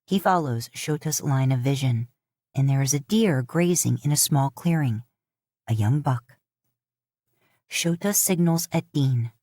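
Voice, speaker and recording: A woman's voice: rumbling low, unhurried, mellow, no room sound, studio quality. A woman reading a scripted story aloud in the third person, as an audiobook narrator. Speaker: fan fiction audiobook narrator.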